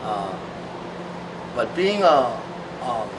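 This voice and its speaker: A middle-aged man from Hawaii, a songwriter talking thoughtfully in an interview, pausing often with fillers. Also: medium pitch, big pitch swings. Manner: thoughtful, halting, many fillers. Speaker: songwriter and musician. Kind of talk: reflective interview talk. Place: large open-sided shed outdoors. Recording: distant mic, clear background noise.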